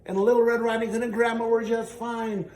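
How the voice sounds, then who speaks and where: A man telling a children's story in an animated way, haltingly, repeating phrases for emphasis. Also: extremely high pitch. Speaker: storyteller and show host. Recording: roomy, distant, faintly noisy.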